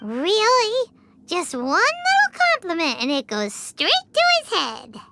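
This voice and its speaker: Tiny squeaky game fairy, perky n teasing, takes her time talking. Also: wildly swooping pitch, unhurried, gravelly, sharp tone, faint music behind, exaggerated voice. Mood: skeptical. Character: tiny high-voiced floating fairy companion, perky and teasing. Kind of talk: video game voice acting.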